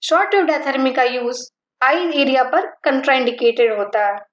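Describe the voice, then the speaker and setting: A woman teaching physiotherapy, slowly listing points and mixing Hindi with terms. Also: high-pitched, expressive, roomy sound, muffled recording. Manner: mixes Hindi and English terms, list-like delivery. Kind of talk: slow, deliberate lecture reading.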